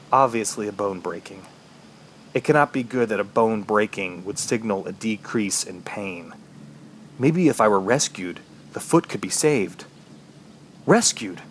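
A man acting as an injured trapped survivor, medium pitch, brooding, halting, horror radio drama narration, ambient noise behind. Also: lightly acted. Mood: weary, bitter. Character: injured, thirsty trapped man recounting his ordeal.

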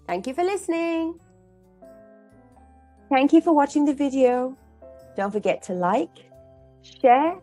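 A middle-aged woman hosting and reading a children's storybook aloud, expressively. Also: high voice. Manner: expressive, addressing children directly. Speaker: storyteller and host. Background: background music.

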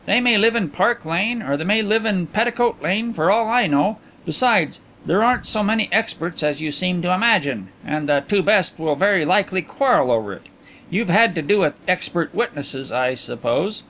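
A man's voice: sits high, animated, normal speed, fairly bright tone, a little hiss or hum, pitched above the performer's own voice. A man voicing a genial, shrewd middle-aged male coin dealer who chats in an easygoing, knowing way. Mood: bemused.

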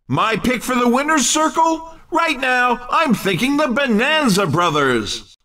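An upbeat male race commentator for a video game. His pitch swings widely and the tone is forceful, with punchy, showy announcer phrasing. He sounds a little away from the mic. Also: distant mic, exaggerated voice.

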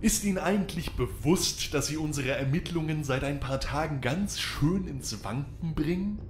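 A man's voice: fairly high pitch, animated, moderate pace, a little hiss or hum, lightly acted. A man playing a pushy, condescending FBI agent interrogating a suspect in an audio drama. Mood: dismissive, smug. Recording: roomy, echoey voice recording.

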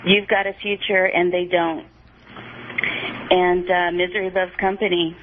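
A woman's voice: lowish voice, level tone, a little slow, a little hiss or hum. A woman calling in to a radio show, gushing and flirtatious, with a giggly manner. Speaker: caller. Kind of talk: phone-in call. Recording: tinny phone line on radio.

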